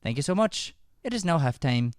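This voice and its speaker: Man, smooth higher-pitched voice, chatty affectionate show host, dry close sound. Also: big pitch swings, brisk, dry recording. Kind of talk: live radio-style show banter.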